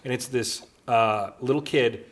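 A man's voice: mid-range voice, measured pace. A man co-hosting a casual talk show, bantering and telling stories in a wry, opinionated way. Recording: clear background noise.

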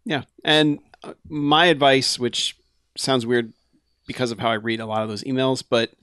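Casual podcast host, male, mid-pitched, easygoing, dry close-mic sound. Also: clean tone, dry recording. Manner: relaxed, laughs often, slows down when reading emails. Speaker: podcast host reading listener mail.

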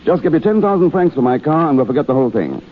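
A man playing an earnest, easily flustered gentleman on vacation in a 1930s radio drama. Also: a little high, big pitch swings, racing. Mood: indignant. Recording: muffled vintage 1930s radio broadcast.

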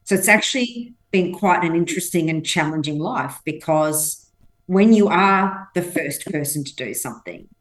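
Woman podcast guest, english not her first language, lowish voice, emphatic storyteller, does the quotes. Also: expressive, moderate pace, poor audio. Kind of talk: conversational interview storytelling.

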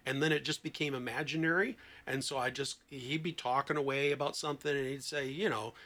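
A man who works as a therapist, talking conversationally as a podcast guest and telling anecdotes in an expressive, emphatic way. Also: mid-range voice, fairly quick. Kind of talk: conversational explanation with anecdotes and acted-out quotes.

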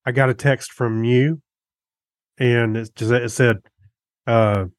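Middle-aged man chatting, halting, dry close sound. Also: medium pitch, steady tone, no echo. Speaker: podcast co-host. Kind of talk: casual chat about gadgets.

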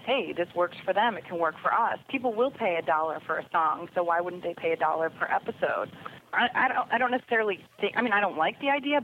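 A woman's voice: medium pitch, phone-quality sound, a little hiss or hum. A woman giving casual, opinionated commentary as a podcast guest, heard over a remote call connection. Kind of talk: casual opinionated panel chat. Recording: thin band-limited phone or radio-like line.

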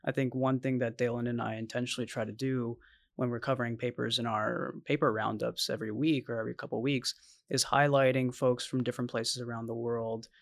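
Man with flat, gravelly voice. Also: mid-range voice, dry recording. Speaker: podcast co-host. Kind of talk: casual panel discussion.

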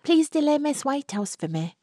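Woman putting on a high-pitched, wilful young lady. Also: very animated, brisk, warm tone, no echo, studio quality. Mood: coaxing. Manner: imperious, pouting.

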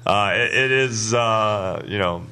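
A man hosting a high school sports radio show, chatty and laughing often, his voice a little rough from lack of sleep. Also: takes it slowly, sharp tone.

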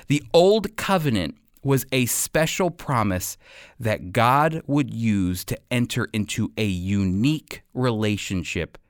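Expressive male preacher, close dry sound. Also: medium pitch, dry recording. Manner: deliberate, emphatic phrasing with pauses. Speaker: preacher, Bible teacher. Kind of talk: prepared sermon teaching to a congregation.

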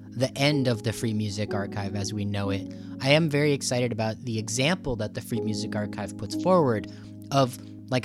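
A man hosting a podcast interview in an enthusiastic, rambling, conversational way. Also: mid-range voice. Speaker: podcast host.

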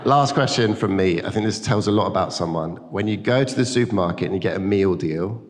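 Low-voiced British church host in echoing hall. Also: male voice, a little fast. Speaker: church host introducing a guest. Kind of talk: informal hosting and spoken prayer. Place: large church hall, speaking to a congregation. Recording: echoing reverb of a large hall.

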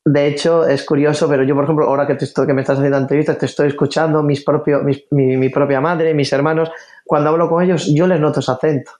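A man's voice: fairly high pitch, flat delivery, fast, a little mellow. A middle-aged man from Galicia with a faded Galician accent mixed with other Spanish, an interview guest who talks earnestly and hesitantly, often repeating words. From Galicia, Spain. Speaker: interview guest, former football player. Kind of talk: casual conversational interview.

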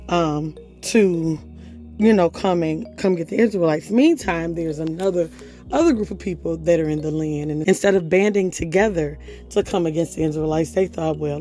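A woman hosting a devotional podcast, talking hoarsely in a casual, conversational way and reading scripture aloud. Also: fairly low pitch, normal speed, dark tone, faint music behind. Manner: conversational, reads scripture aloud, chatty fillers. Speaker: podcast host.

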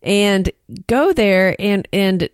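Texan woman co-host, animated and chatty, mid pitch, dry mic. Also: very slow, clean tone, dry recording. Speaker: podcast co-host. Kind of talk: casual podcast chat.

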